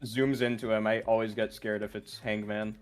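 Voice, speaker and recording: A man's voice: lowish voice, level tone, normal speed. A man giving casual, joking video game commentary and thinking aloud as he plays. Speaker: game streamer. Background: video game music.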